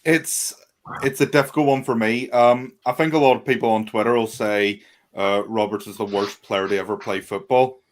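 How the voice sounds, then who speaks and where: A man's voice: on the low side, steady tone, moderate pace. A man with a Northern Irish accent giving his view as a guest on a casual podcast chat, earnest and full of restarts. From Northern Ireland. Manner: earnest, hesitant with many restarts.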